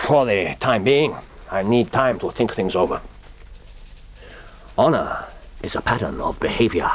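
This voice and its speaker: An actor playing a courteous, grave middle-aged Japanese intelligence chief with a formal, measured manner in a radio play. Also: male voice, deep voice, a bit bright, breathy edge, full character voice. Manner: formal, measured, philosophical, prompting with "huh?". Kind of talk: radio drama acting.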